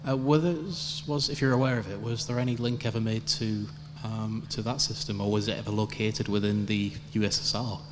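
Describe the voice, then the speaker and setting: A man's voice: lowish voice, little pitch movement, fairly quick, hardly any pauses. Mumbly man asking from a reverberant hall floor. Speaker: audience member asking a question. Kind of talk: informal, halting question from the floor. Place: large venue, audience floor. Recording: echoey hall, clear noise and hum.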